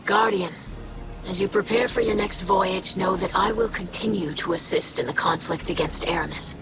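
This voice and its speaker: A woman's voice: medium pitch, normal speed, music in the background, natural voice, rough recording. An actress playing a principled young female alien scholar, formal and precise, in a video game cutscene. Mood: earnest, resolute.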